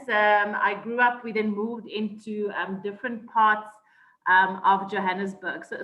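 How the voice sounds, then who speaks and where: A South African woman speaks with a bright, forceful tone, pausing often with ums as she thinks back over her story. The sound is roomy and a little dull, as if caught in a bare room on a low-grade mic. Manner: thoughtful, many ums and restarts. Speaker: guest. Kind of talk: interview, personal story.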